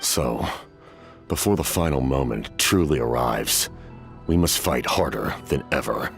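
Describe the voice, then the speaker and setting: An actor playing a solemn, weary, centuries-old masked commander in a video game, speaking formally and gravely in a measured way. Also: male voice, very deep voice, lively intonation, faint music behind, exaggerated voice. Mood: resolute.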